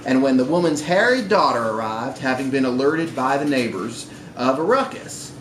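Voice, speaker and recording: A man reading literary fiction aloud to a live audience in a bar, with an expressive, theatrical delivery. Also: mid-range voice, normal speed. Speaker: story reader at a live literary event. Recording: echoey live room recording with background noise.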